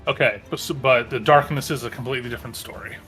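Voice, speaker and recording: A man chatting casually as a player in a tabletop game, phrasing things haltingly. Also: medium pitch, over music. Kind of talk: casual chat during a game.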